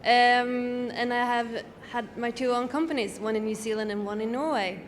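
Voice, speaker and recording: Woman in her twenties, from Sweden, fairly high voice, candid, echoing hall. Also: fairly even intonation, normal speed. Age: 20s. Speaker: student presenter. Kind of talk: self-introduction to an audience. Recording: reverberant hall.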